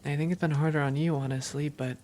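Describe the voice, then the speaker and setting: A woman's voice: sits low, fairly even intonation, fast talker, darkish tone, a little hiss or hum, natural voice. A woman playing an affectionate, teasing young vampire girlfriend in an intimate roleplay audio, playful and tender with her partner. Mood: tender, reassuring.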